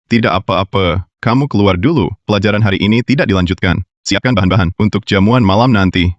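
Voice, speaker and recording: A man's voice: fairly low pitch, fairly quick, no room sound, dull audio, close to an everyday voice. A young man voicing a curt, commanding company president in a dubbed drama. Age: young adult.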